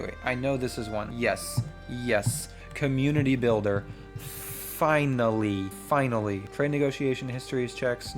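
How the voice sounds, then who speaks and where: Man narrating a tabletop game over music. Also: mid-range voice. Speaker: solo role-playing game player and streamer. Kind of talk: game commentary mixed with reading narration aloud. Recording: music playing behind the voice.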